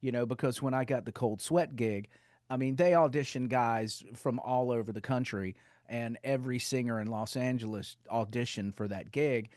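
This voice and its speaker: A middle-aged man from North Carolina with a Southern accent, a rock singer chatting loudly and laughing often as an interview guest on a video call. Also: mid-range voice, moderate pace, no echo, clean studio sound. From North Carolina, USA. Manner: loud, animated, laughs often. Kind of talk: casual interview chat.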